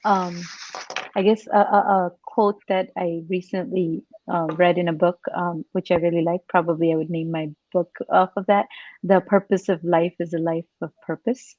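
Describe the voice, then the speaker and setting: A woman's voice: on the low side, little pitch movement, normal speed, dry recording, muffled recording. A woman guest on a podcast chatting casually and reflectively, in a tentative way peppered with "like". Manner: tentative, frequent "like". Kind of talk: casual, reflective panel chat.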